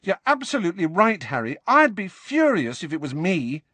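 A male narrator puts on a teenage girl witch from a storybook, raised very high. She sounds earnest and nervous, pleading in hasty, lilting phrases. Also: sing-song, moderate pace, bright, forceful tone, no room sound, exaggerated voice.

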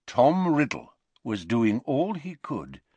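A man voicing a calm, grave elderly wizard headmaster in an audiobook, quiet and measured. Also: medium pitch, husky, no room sound, pitched above the performer's own voice, exaggerated voice. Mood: measured, serious. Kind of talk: audiobook character voice.

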